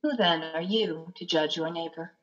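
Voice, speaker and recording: A middle-aged woman as a play's solemn narrator, deliberately reading stage directions and scripture. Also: lowish voice, lightly acted. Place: lamp-lit room at home. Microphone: computer mic on a video call. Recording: muffled video-call audio.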